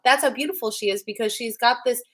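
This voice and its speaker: A young woman casually co-hosting a livestream, chatty and animated, reading out viewer questions and swearing freely. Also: a little high, fast talker.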